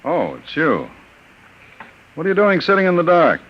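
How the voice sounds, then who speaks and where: An actor playing a scheming male crook in a 1950s radio drama, with smooth, falsely kindly talk. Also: fairly high pitch, wildly swooping pitch, moderate pace. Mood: surprised. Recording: dull, noisy old radio broadcast.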